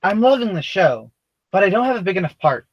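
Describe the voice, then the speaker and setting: Actor as eager amateur showman: high male voice, lively swoops, breezy, self-satisfied, stage comedy. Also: brisk, dry recording, muffled recording. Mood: cocky, excited. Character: cocky, eager contest-winner actor, oblivious amateur. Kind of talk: broad stage comedy acting.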